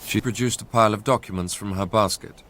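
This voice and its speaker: An actor playing a young Englishman who recounts his past in a measured, literary way for a radio drama. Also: fairly low pitch, fairly bright tone, slight background noise, close to an everyday voice. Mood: measured recollection. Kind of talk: radio drama first-person narration.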